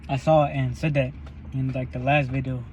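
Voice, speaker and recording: A man's voice: mid-range voice, little pitch movement, brisk, darkish tone, a little hiss or hum. A young man talking while he eats in a parked car, in a loose, rambling and profane way for his vlog. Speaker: vlogger. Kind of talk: casual eating vlog monologue.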